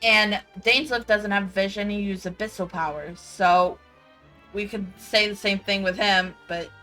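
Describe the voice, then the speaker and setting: Bright-voiced young woman chatting over gameplay. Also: mid-range voice, measured pace, poor audio. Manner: crude joking, sweary, excitable reactions. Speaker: gamer commentator. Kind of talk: casual gameplay chat with a friend. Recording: home gameplay recording with music underneath.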